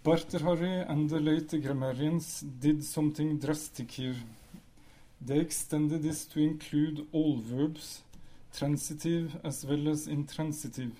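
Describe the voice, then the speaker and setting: Man, Norwegian native, measured lecturer, mellow tone, slightly high voice. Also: fairly even intonation, rough recording. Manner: measured, explanatory, with pauses and fillers. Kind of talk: academic lecture.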